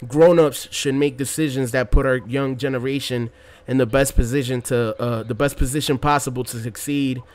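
A man's voice: medium pitch, fairly even intonation, moderate pace. A man in his twenties giving casual gameplay commentary, talking to his viewers in a slangy, laid-back, rambling way. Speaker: gaming video commentator.

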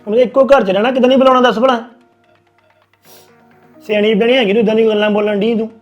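A man's voice: sits high, steady tone, fast talker, muffled recording, faint music behind, lightly acted. A man playing a protective, reassuring husband. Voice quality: reassuring, firm. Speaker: husband calming his wife. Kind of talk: family drama acting.

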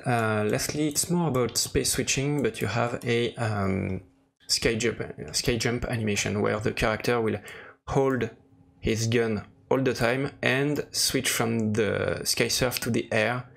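Slow, gravelly man demonstrating software. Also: mid-range voice. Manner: hesitant, searching for words. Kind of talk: casual screen-recorded tutorial narration. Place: voice-over for a screen recording.

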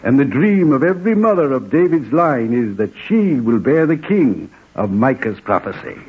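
An actor playing a wary, grasping, deferential innkeeper in formal 1940s radio drama style. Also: male voice, mid-range voice, very animated, moderate pace, slightly rough. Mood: reverent, deferential. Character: obsequious, wary, grasping male innkeeper of ancient Bethlehem. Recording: muffled phone-like 1940s radio recording.

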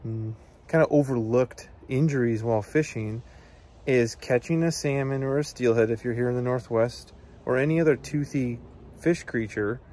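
A man's voice: mid-range voice, darkish tone. An American man in his thirties, an outdoors video host, talks to the camera in a casual, earnest, plain-spoken way, giving practical advice. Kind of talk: casual instructional talk to camera. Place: outdoors among evergreen trees.

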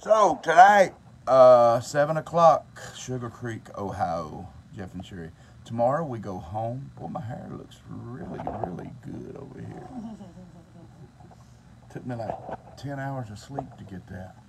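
A man's voice: medium pitch, animated, a little slow. Folksy man filming on a phone. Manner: folksy, teasing, chatty. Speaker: singer hosting a tour vlog. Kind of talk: casual phone vlog. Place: inside a tour bus. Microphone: phone at arm's length. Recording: noisy phone audio in a bus.

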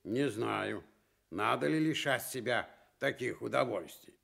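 Man acting a smug, gruff storybook bear. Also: mid-range voice, fairly bright tone, exaggerated voice. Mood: smug, self-important. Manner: self-important, smug, unhurried. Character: gruff, self-satisfied, stubborn big male bear. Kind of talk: fairy-tale radio reading in character.

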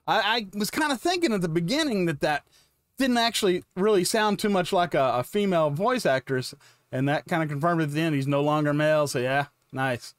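A man in his fifties with a fairly high voice chats to viewers as a reaction host. His remarks are short and informal, recorded close and dry on a mic in a small home room. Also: lively intonation, brisk, no echo. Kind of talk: casual commentary to viewers. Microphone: close mic on boom arm.

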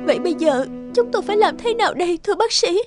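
A woman's voice: extremely high pitch, lively intonation, very fast, over loud music. A woman voicing an anxious, distressed young mother in a cartoon, worried and pleading. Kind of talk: cartoon voice acting.

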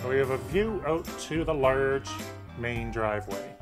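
A man's voice: mid-range voice, expressive, unhurried, over music. A middle-aged man presenting a property tour as a real estate agent, in an upbeat, scripted salesman's delivery.

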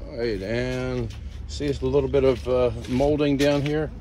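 A man's voice: medium pitch, fairly even intonation, normal speed, mellow. A plain-spoken, folksy man narrating an informal walkaround as a vehicle auction presenter. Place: outdoor car lot. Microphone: handheld phone held by the speaker. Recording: clear outdoor ambient and vehicle noise.

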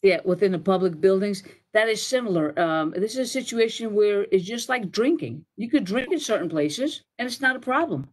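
A woman's voice: mid-range voice, expressive, moderate pace, few pauses. A middle-aged woman on a town hall panel explaining safety policy over a video call from a home office, rambling with restarts and fillers. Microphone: video call webcam.

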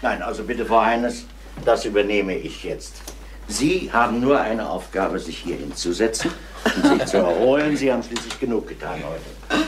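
An actor playing a calm, fatherly middle-aged police inspector in a radio crime play, measured and reassuring. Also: lowish voice, roomy sound, noisy background, natural voice. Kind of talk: German radio crime drama acting.

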